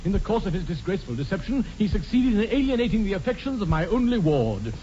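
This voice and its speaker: Brisk, starchy English gentleman. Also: high voice, very animated. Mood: firm, indignant. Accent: upper-class English. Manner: formal, clipped, precise. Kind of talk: 1940s radio comedy acting. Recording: dull, noisy 1940s radio broadcast.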